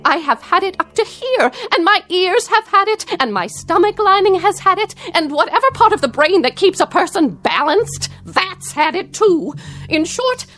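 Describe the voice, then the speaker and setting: A fed-up middle-aged wife in a radio drama. She is sardonic and emphatic, with a high voice that rises and falls sharply in exasperation. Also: lively intonation, normal speed, a bit bright. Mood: fed up, resolute.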